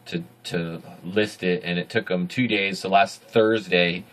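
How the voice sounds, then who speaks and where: A man in his thirties with a deep voice, hosting a casual video diary from a small home studio, rambling and correcting himself as he goes. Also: fairly even intonation, measured pace, a bit bright. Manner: rambling, self-correcting, upbeat. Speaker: video journal host.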